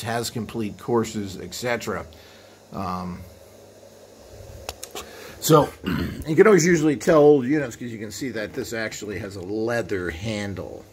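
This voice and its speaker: Man, lowish pitch, informal explainer, rambling hands-on demo, handheld camera mic, noticeable background noise. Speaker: host of a vintage equipment video channel. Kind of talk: informal hands-on product walkthrough. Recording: clear background noise, room ambience.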